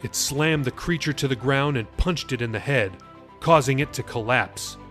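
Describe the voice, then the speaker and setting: A man's voice: normal speed, a bit bright, soft background music. Man narrating his own fantasy novel. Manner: dramatic, shifting pace and pitch for action. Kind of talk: audiobook narration.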